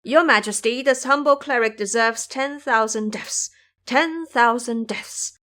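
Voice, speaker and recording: A woman's voice: fairly high pitch, unhurried, sharp tone. A woman voicing a humble, frightened male Buddhist monk, deferential and pleading, in an audio drama. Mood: terrified pleading.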